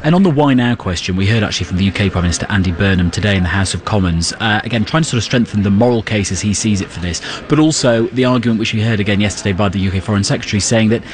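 A male news presenter with a low voice, talking quickly. His delivery is brisk and conversational. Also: slight background noise.

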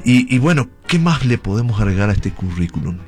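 A man hosting a radio interview program in a studio, introducing his guest with a measured, formal announcer delivery. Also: fairly low pitch, very animated, a little mellow, soft background music. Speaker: radio journalist and host.

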